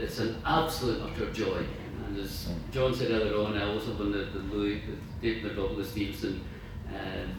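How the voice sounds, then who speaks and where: A man giving an informal after-dinner speech as club president, in an enthusiastic, emphatic manner. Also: mid-range voice. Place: large room at a club dinner. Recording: echoey room from far off, noisy.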